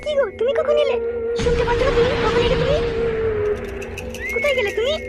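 A performer voicing a shrill, insistent, money-hungry village wife in a comedy cartoon. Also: fairly even intonation, fast talker, soft background music. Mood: puzzled, insistent. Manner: insistent, nagging.

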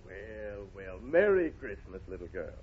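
An actor playing a husky department store Santa Claus in a 1930s radio comedy. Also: male voice, on the low side, big pitch swings, a little slow, heavily acted. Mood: jolly. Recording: muffled 1930s radio recording.

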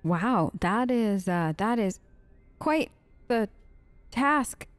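A woman gives casual role-play commentary as a let's play host. Her voice is a little high and her delivery wry and hesitant, recorded close and dry. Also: animated, drawn-out words, smooth, dry recording. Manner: wry, hesitant, talks in character.

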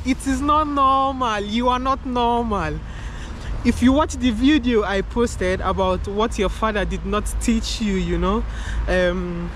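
A young man with a light, feminine-sounding voice, vlogging in a loose, repetitive way and calling the viewer "man" and "bro", recorded outdoors. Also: very high-pitched. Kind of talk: casual to-camera talk. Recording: outdoor recording with clear traffic noise. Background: passing cars.

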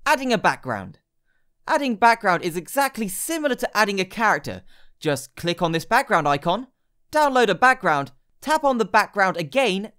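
A woman narrates a scripted how-to guide with a lively, moving pitch. She speaks in short instructional steps, laying out each direction plainly. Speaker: tutorial narrator. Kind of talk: scripted step-by-step app tutorial narration.

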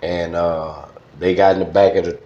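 A man's voice: deep voice, little pitch movement, normal speed, warm tone. A middle-aged man with a Southern US accent, reminiscing casually as an interview guest in a studio. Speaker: interview guest, former radio DJ. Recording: slightly dull, roomy echoing sound.

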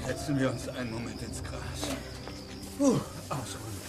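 An actor playing a kindly, worn-out uncle, somewhat breathless, in a children's radio play. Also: male voice, medium pitch, animated, moderate pace, over music, lightly acted. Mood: exhausted, relieved. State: weary, out of breath. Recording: clear background noise.

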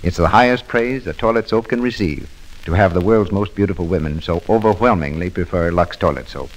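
A man's voice: low-pitched, a little hiss or hum, low-quality recording. A man announcing a 1940s radio advertisement in a polished, formal manner.

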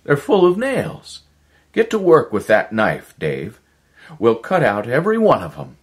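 A man voicing an urgent, desperate male scientist in a sci-fi story, exclaiming forcefully. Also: medium pitch, wildly swooping pitch, a little slow, pitched above the performer's own voice. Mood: excited urgency.

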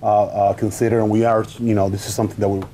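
Halting guest man with a mellow, low voice. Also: little pitch movement, a little hiss or hum. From Dominican Republic. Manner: halting, restarts often, eager. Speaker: cigar company founder, guest. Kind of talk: casual panel talk. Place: studio set with wood shelves, indoors. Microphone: lapel.

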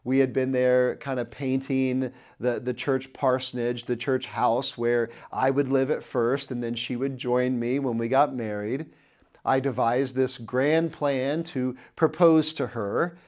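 A man's voice: mid-range voice, steady tone, a little slow, darkish tone. A man in his thirties preaching a sermon to camera, earnestly telling a personal story while gesturing, recorded in an indoor studio on a lapel mic.

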